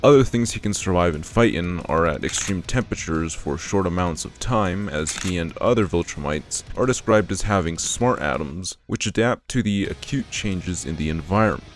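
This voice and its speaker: Man, low-pitched voice, scripted video essay narration, matter-of-fact delivery, music underneath. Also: expressive, moderate pace, hardly any pauses.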